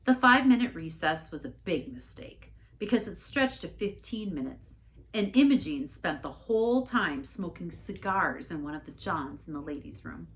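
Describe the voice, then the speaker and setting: A woman reading a storybook aloud in an expressive narrating style. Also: fairly low pitch, normal speed. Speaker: story reader, church staff member.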